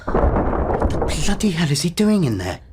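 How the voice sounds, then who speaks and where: Man as a gruff bullying father, very noisy. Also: a little high, very animated, measured pace. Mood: angry, impatient. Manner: barking orders, scornful. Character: gruff, bullying, irritable father of grown children. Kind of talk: radio drama acting. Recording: very noisy track with clicks.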